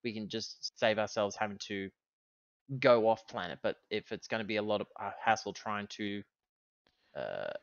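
A man playing a tabletop roleplaying game, talking casually and thinking aloud as he deliberates and repeats himself. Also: lowish voice, expressive, no room sound, lo-fi sound.

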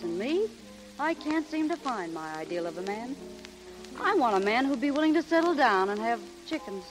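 A woman's voice: a little high, lightly acted. An actress playing a practical, dryly snippy young showgirl in a 1930s radio drama. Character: tart, practical young showgirl, secretly romantic. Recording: vintage 1930s radio, music, crackle.

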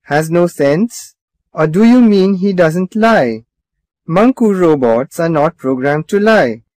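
A man's voice: fairly high pitch, animated, takes it slowly, a little mellow, no echo. An actor playing a stern customer service man at a robot company, formal and firm, in a children's cartoon.

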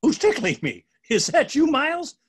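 An eager little storybook inchworm , acted out by a man, curious and cheerful. The pitch jumps up high and moves in lively swoops. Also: normal speed, heavily acted. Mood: indignant.

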